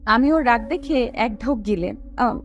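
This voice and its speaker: Woman acting very high, sulky young girl. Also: lively intonation, unhurried, muffled recording, faint music behind. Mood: pleading. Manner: pleading, reluctant. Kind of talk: audio story drama acting.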